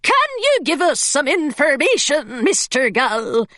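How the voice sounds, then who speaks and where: A woman's voice: high-pitched, sing-song, unhurried, fairly bright tone, no room sound, clean studio sound, pitched above the performer's own voice, full character voice. A woman reading an audiobook, voicing a prim adult governess who fakes a thick pirate accent. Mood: scheming. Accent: thick put-on pirate accent.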